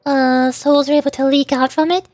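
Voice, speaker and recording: A woman's voice: high voice, flat delivery, moderate pace, darkish tone, no echo. A woman streamer reading game dialogue aloud in character, earnest and dramatic, now and then tripping over words.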